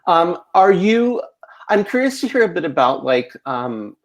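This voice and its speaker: A man's voice: sits high, very animated, a little slow. An American man conducting a casual interview, asking questions in a rambling, hedging way with lots of "like" and "you know.". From rural Ohio, United States.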